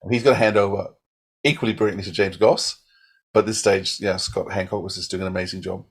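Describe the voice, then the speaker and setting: A man's voice: sits low, fairly even intonation, fast, husky. A man giving his opinions as a podcast panelist, often repeating words as he starts a thought. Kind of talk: casual opinionated discussion.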